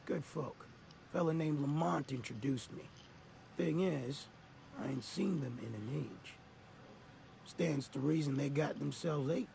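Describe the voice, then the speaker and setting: A man's voice: a little high, a little slow, vocal fry, a little mellow, a little hiss or hum. An actor playing a grizzled older male ex-mercenary in a game, talking in a folksy, rambling drawl. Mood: weary. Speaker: game character.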